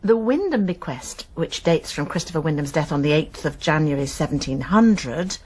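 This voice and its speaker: An actress playing an inquisitive, sharp-minded college woman turned amateur sleuth in a radio drama, probing and thoughtful. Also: sits low, expressive, moderate pace, husky. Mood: curious.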